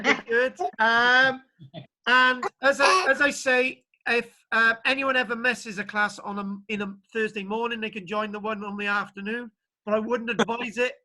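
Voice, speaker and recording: Bright-sounding guy teaching an archaeology class over a video call, rambly and jokey. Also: sits high, low-quality recording. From Britain. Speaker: archaeology lecturer. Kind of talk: online class lecture and chat.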